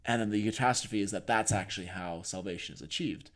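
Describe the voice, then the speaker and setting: A man's voice: fairly low pitch, fast talker, a bit bright. A man co-hosting a podcast, explaining his thoughts in a reflective, conversational way and thinking aloud as he goes. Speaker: podcast co-host. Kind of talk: conversational discussion.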